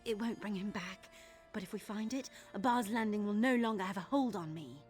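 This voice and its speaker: A woman playing an earnest young thief in a video game, with a heartfelt, expressive delivery. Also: medium pitch, fairly quick, soft background music, natural voice. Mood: eager, wistful. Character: earnest young woman thief seeking her late father's treasure. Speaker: game character. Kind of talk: dramatic game dialogue.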